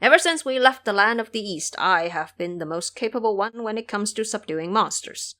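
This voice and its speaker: A woman's voice: mid-range voice, expressive, moderate pace, pushed and bright. A woman voicing a boastful, foolish male pig monk with a brash, bragging manner in an audio drama.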